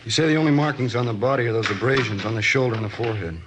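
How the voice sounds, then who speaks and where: An actor playing an inquisitive, businesslike police detective in a 1950s American radio drama, with clipped delivery. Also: male voice, medium pitch, rapid-fire, natural voice. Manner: clipped, questioning. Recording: faintly noisy old radio recording.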